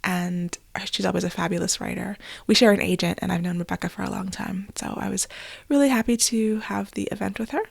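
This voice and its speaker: A woman talks through her podcast in an easy, chatty way, a bit stuffy and nasal from a recent illness. Her voice carries a gravelly fry. It sounds close and dry, with no room echo. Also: medium pitch, steady tone, brisk. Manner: chatty and candid, with fillers and small laughs. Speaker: podcast host and author. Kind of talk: casual solo podcast monologue.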